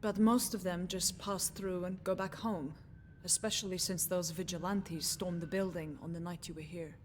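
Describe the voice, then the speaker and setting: An actress playing a composed, defiant nurse in a video game, speaking in a measured, frank, dryly pointed way. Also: female voice, lowish voice, steady tone, lightly acted. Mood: frank, guarded. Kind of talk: video game dialogue acting. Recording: music behind the voice.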